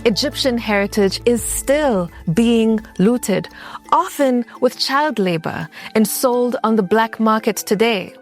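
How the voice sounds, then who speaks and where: A woman hosting a podcast, narrating a scripted episode in a deliberate, measured way. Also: a little high, faint music behind. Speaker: podcast host.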